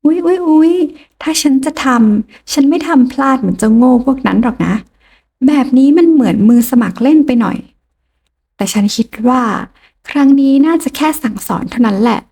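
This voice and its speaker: A sly young rival woman with a sneering, sing-song lilt, played by a woman narrator, pitched rather high. Her tone sits mellow and soft even as she mocks. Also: moderate pace, creaky, husky, full character voice. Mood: smug.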